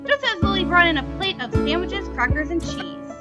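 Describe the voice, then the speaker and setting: High-pitched, lively woman storyteller over music. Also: moderate pace. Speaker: amateur fanfiction reader. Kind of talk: expressive storybook narration of fan fiction.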